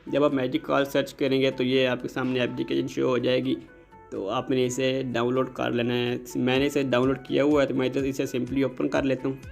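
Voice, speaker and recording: A man's voice: medium pitch, completely flat delivery, brisk, gravelly, soft background music. A man hosting a casual app tutorial, politely addressing his viewers directly as he explains each step. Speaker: host of a tech tutorial channel. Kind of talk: casual scripted-style app tutorial narration.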